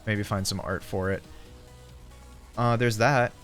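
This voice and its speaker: A man's voice: lowish voice, steady tone, slow pace, a bit bright. A young man livestreaming, chatting casually with his viewers. He rambles, laughs often and swears freely. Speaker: streamer. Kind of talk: casual livestream chat, reading chat aloud. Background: faint background music.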